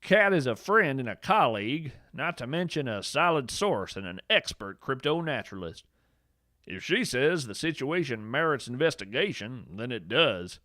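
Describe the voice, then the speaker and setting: A man acts out a folksy field naturalist with a very lively, swooping delivery, recorded dry and close. He tells whimsical tales of strange creatures with earnest enthusiasm, as in a podcast monologue. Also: medium pitch, moderate pace, no echo. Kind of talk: first-person storytelling, fiction podcast monologue.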